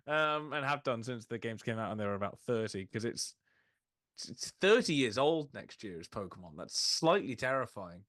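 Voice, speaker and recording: A man hosting a casual film discussion, recapping in a rambling way with animated asides. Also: medium pitch, moderate pace, no echo. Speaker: podcast host.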